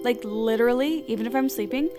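A woman's voice: on the high side, fast, over music, lightly acted. An actress playing a devoted, quirky young woman, casual and drily funny, in an audio drama. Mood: supportive, playful. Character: perky, devoted young female confidante, quirky and supportive.

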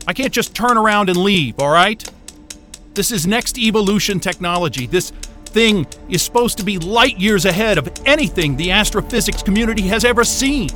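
Unravelling astrophysicist, high voice, intense, halting, agitated, dramatic trailer acting. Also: male voice, animated, pushed and bright, soft background music. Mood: determined. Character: troubled astrophysicist alone at Antarctic station, unravelling, obsessive.

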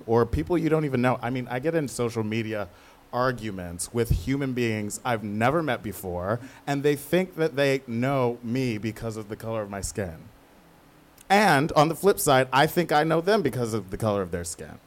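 A man answering as an interviewed playwright, candid and conversational, thinking aloud with emphatic asides. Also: fairly low pitch.

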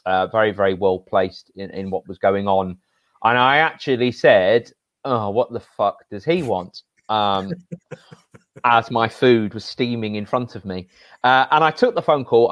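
A loud-voiced man in his forties, a sports journalist from Northeast England, tells a story with animated energy. His voice leaps up when he gets excited. The sound is dry and a little dull, close to the mic. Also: lowish voice, dry recording. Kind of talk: casual podcast chat.

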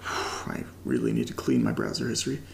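A man's voice: extremely low pitch, very animated, brisk, gravelly, a little hiss or hum, full character voice. An actor playing a casual young man who documents his creepy new house in a found-footage horror audio drama, rambling informally with some swearing and self-mockery. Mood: irritated, self-mocking.

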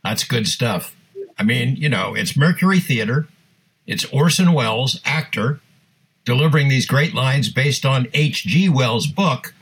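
Husky mid-pitched male co-host, casual. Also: lively intonation, measured pace, rough recording. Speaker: podcast co-host. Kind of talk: casual chat.

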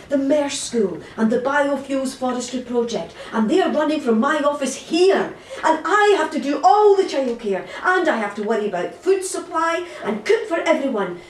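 An actress playing an exasperated, outspoken mother in an audio play, with a blunt, insistent manner. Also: high-pitched. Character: exasperated, outspoken mother running a crowded household. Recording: echoey, distant, faint noise.

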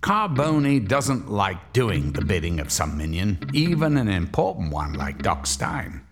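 Deep wry noir narrator man. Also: sing-song, unhurried. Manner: wry, gritty storytelling. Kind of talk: hard-boiled noir narration. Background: music score.

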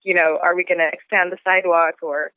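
A woman's voice: lowish voice, level tone, a little fast, clear, resonant tone, dry recording. A woman in her thirties, raised in Switzerland, talking casually about her book in an interview, thinking aloud with fillers and restarts. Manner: thoughtful, frequent fillers and restarts. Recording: tinny phone-like sound.

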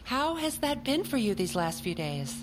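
An actress playing a composed, encouraging therapist with a measured, soothing professional manner in an audio drama. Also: female voice, on the high side, very animated, loud music behind the voice. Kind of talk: audio fiction drama acting.

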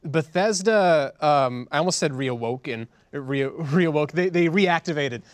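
A man's voice: a little high, unhurried, dry recording. A man co-hosting a podcast, talking casually with friends. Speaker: podcast co-host. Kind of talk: casual group banter.

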